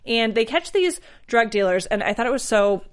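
A woman's voice: a little high, lively intonation, moderate pace. A woman co-hosts a recap in a casual, conversational way. She leans on "like" as she retells scenes. She often slips into characters' lines as quotes. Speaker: host recapping a TV episode. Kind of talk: casual chat.

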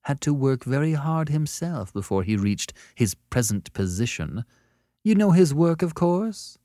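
A man voicing a quick-tempered young woman artist in an audiobook story, sharp-tongued yet remorseful, with an emotional, theatrical way of talking. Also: on the high side, big pitch swings, no room sound, clean studio sound, pitched above the performer's own voice. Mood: sweetly cutting.

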